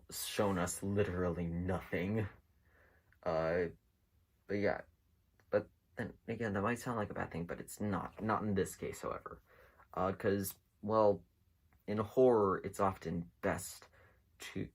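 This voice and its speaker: A man talks through his commentary in a very low voice with a heavy fry. He hosts casually and without a script, taking his time and often pausing as he rambles from thought to thought. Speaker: host of an internet mystery series. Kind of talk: casual unscripted video commentary.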